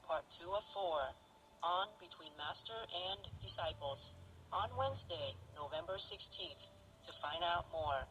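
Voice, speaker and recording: A man's voice: fairly high pitch, a little slow, sharp tone, slight background noise. A man reading a scripted promotional announcement, heard on a muffled shortwave radio capture. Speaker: programme announcer.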